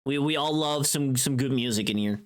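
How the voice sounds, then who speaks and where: A woman chatting casually to viewers on a livestream, talking in a scattered, expressive and self-deprecating way. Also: extremely low pitch, normal speed.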